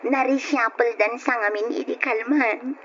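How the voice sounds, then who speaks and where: A giggly, teasing school friend, as a woman plays her, high and sing-song, drawing her words out slowly. Dull lo-fi radio drama sound with a faint hiss. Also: steady tone, a bit bright.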